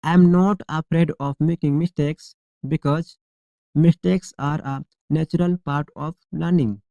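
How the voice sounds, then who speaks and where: A male English teacher leading a shadowing drill, reading each model sentence slowly and deliberately, then repeating it clearly. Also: a little high, fairly even intonation, mellow, no echo, highs cut off.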